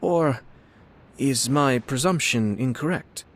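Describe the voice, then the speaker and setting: An actor playing a refined, courteous young nobleman and clan head in a video game, speaking in a formal, measured way. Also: mid-range voice, fairly bright tone, a little hiss or hum. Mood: composed, polite.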